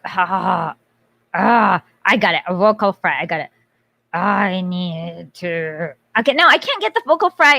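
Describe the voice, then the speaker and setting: Woman streamer rambling to chat, kinda high voice, dry close sound. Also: pushed and bright, no echo. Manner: rambling, quick, self-interrupting. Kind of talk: casual livestream chat.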